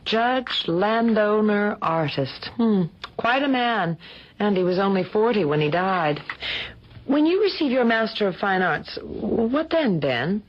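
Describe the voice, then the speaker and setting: An actress playing a shrewd, playful married woman chasing a mystery, teasing and deliberate, in an old-style radio drama. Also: medium pitch, animated. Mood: friendly, curious. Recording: dull, lo-fi radio drama recording.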